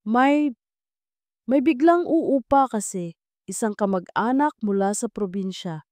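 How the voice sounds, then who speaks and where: A woman's voice: fairly high pitch, animated, measured pace, darkish tone, dry recording. A woman voicing an evasive, apologetic landlady in a story, hesitant as she makes excuses.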